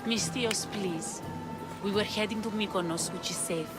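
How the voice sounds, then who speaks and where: Casting: actress as defiant female runaway, pleading, medium pitch, loud game music underneath. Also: moderate pace, lightly acted. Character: frightened, determined young female runaway. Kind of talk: dramatic video game acting.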